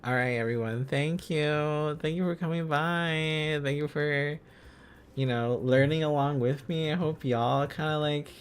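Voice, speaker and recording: A man's voice: a little high, unhurried, clear, resonant tone. A man hosting a livestream, chatting casually in an enthusiastic, rambling, giggly manner. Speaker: livestream host. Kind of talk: casual livestream chat while learning Korean script.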